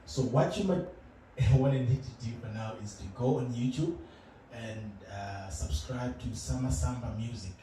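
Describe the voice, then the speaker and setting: Low-voiced guy from zimbabwe, laid-back, lots of ums, super echoey room, noisy. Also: normal speed. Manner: relaxed, hesitant, many fillers and laughs. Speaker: musician guest. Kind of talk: casual interview chat. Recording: roomy, distant, noisy room.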